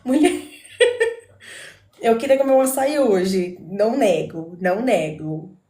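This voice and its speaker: A woman's voice: mid-range voice, expressive, slow. Giggly woman from São Paulo, echoey call. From São Paulo, Brazil. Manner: warm, giggly, affectionate. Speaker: host. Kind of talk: casual chat on a live video call. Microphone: video call.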